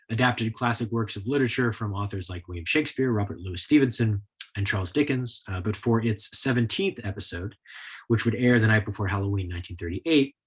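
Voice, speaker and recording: A man giving a prepared history lecture in a measured, explanatory style. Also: fairly low pitch, husky. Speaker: lecturer, author.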